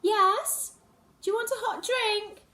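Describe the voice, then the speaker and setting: A woman's voice: very high voice, steady tone, takes it slowly, pushed and bright, far from the mic, voice pushed up, full character voice. A woman voicing a busy, caring mother who checks on her daughter. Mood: solicitous. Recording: roomy echo, set back from mic.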